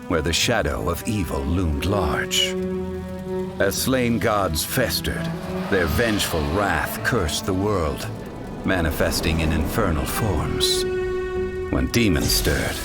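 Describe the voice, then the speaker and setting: A deep, slow legend narrator. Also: male voice, lively intonation, loud music behind the voice, heavily acted. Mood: solemn. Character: grave male storyteller of ancient legend. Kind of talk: solemn scripted narration.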